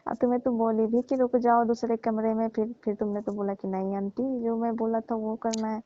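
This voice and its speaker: A woman's voice: fairly high pitch, little pitch movement, fairly quick, dry recording, close to an everyday voice. A woman playing a coaxing, teasing middle-aged auntie who persuades and placates in a staged comic phone call. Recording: dull, dry lo-fi phone recording.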